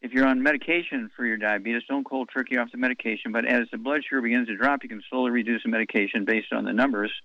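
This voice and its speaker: A man's voice: medium pitch, fairly even intonation, fast talker, vocal fry, hardly any pauses, no room sound, dull audio. A man hosting a call-in health show on the radio, blunt and wisecracking, rattling off long lists of advice.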